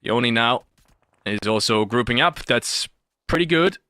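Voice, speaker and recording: A man's voice: mid-range voice, animated, measured pace, bright, forceful tone, no room sound. A man giving live esports commentary in an emphatic way, repeating phrases for effect.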